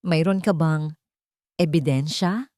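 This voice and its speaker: A woman's voice: low voice, slow, warm tone, no echo, studio quality. Woman as a formal, probing Filipino attorney. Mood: businesslike, probing.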